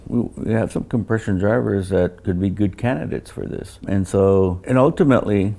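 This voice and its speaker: A man's voice: fairly low pitch, gravelly, mellow. A middle-aged or older man talking casually as an engineer, explaining through anecdotes and often restarting his phrases. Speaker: veteran loudspeaker engineer. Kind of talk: casual technical conversation.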